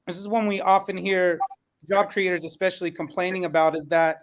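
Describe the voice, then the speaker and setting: A man with a notably high voice hosts a panel discussion. He moderates in an encouraging way, affirming his guests as he moves between them. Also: flat delivery, brisk. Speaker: podcast host.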